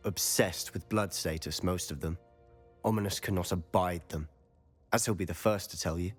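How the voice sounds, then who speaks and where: A man's voice: low voice, normal speed, breathy edge, soft background music. A confiding teenage wizard boy, mischievous. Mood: confiding, earnest. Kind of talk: video game dialogue acting.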